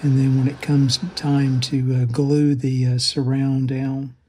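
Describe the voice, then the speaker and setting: A man giving casual, unscripted hands-on repair narration in a halting manner, often pausing mid-phrase. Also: medium pitch, little pitch movement, a little mellow, slight background noise. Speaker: radio restoration hobbyist.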